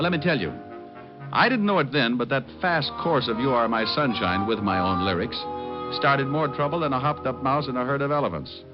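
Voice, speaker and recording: An actor playing a wisecracking, song-loving private detective in an old-time American radio drama, breezy and wry. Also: male voice, mid-range voice, close to an everyday voice. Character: wisecracking male private detective who loves to sing. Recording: muffled old radio broadcast with music.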